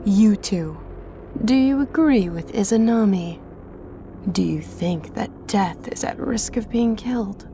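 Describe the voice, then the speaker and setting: A woman's voice: medium pitch, slow, mellow, slight background noise. A woman playing a menacing, commanding Grim Reaper goddess in an audio drama, with a cutting, imperious manner. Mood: challenging.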